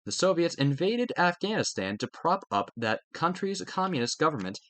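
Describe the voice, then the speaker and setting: A man's voice: on the high side, sing-song, normal speed, fairly bright tone, no room sound. A man reading educational text aloud in a scripted narration style, now and then stumbling over a word and correcting himself. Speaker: reader of a study textbook aloud. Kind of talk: scripted read-aloud of a history textbook.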